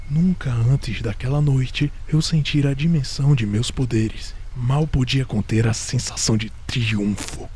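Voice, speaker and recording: Gothic horror monologue: male actor as obsessive, unhinged confessor insisting he's sane, Brazilian accent, very unhurried, intense, slipping into whispers, faint background noise. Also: medium pitch, darkish tone, close to an everyday voice. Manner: deliberate, intense, dropping into whispers. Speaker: narrator of a horror audio drama.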